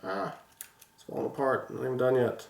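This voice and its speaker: A man's voice: medium pitch, fairly even intonation, a little fast, gravelly, echoey room. A man with a stuffy, congested voice from a sinus infection, chatting casually and rambling with many restarts as he builds a toy set. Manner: rambling, frequent restarts and fillers. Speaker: vlogger building toy sets. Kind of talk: casual chat while building.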